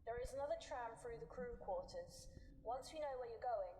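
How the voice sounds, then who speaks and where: A woman's voice: fairly high pitch, animated, brisk, warm tone, close to an everyday voice. A woman playing a guide who gives directions in a horror game. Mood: guiding, measured. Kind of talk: game voice acting. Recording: echoey long reverb, noisy game playback.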